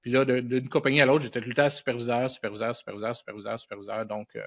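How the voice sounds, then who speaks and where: A man's voice: mid-range voice, flat delivery, rapid-fire, a bit bright. Quebec guy, middle aged, chatting casually in an interview, english words thrown in, kinda dull audio. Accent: Quebec French. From Quebec, Canada. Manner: colloquial, peppered with English words. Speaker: podcast guest, animation and visual effects professional. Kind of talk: casual anecdotal storytelling in an interview. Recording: dull, band-limited podcast audio.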